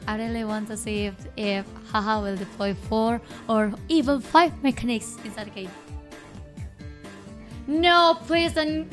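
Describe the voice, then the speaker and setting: A woman with an Indonesian accent commentates a game live, chatting playfully and informally with viewers and often repeating her phrases. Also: on the high side. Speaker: esports livestream caster. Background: music.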